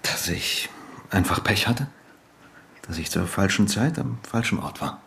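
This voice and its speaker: An actor playing a wounded, bitter husband returned after years away, speaking haltingly and with restraint in a radio drama. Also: male voice, sits low, expressive, creaky, a bit bright, a little hiss or hum, full character voice. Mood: hurt, subdued. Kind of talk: naturalistic radio drama dialogue.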